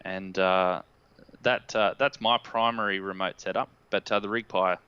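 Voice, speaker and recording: A man's voice: deep voice, fairly even intonation, moderate pace, clear, resonant tone, no echo. An Australian man in his thirties chatting casually as an interview guest, on a headset mic over a slightly dull video call. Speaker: guest, amateur radio repeater builder. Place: indoors in a plain room, video call. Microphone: headset boom mic.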